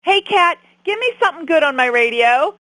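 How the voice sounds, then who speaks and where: A squeaky, bubbly young female caller chirps out her requests. Her voice is very high, and the sound is dry and close. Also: animated, fairly quick, a bit bright, no echo, low-quality recording. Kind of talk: scripted game voice lines.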